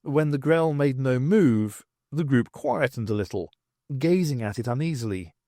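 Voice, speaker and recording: A man reading scripted science fiction narration in an expressive storytelling manner. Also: medium pitch, moderate pace, no echo, studio quality. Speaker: audiobook narrator.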